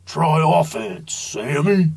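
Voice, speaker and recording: A woman voicing a commanding rhino football coach in a storybook, giving terse, drawn-out orders. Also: sits low, creaky, a little mellow, breathy edge, full character voice.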